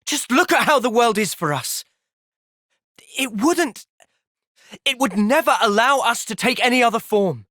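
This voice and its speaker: A man playing a gentle, thoughtful young soldier hero in a video game, earnest and reflective in manner. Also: very high voice, lively intonation, measured pace, pauses often, no echo, clean studio sound, full character voice. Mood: intense. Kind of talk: video game cutscene voice acting.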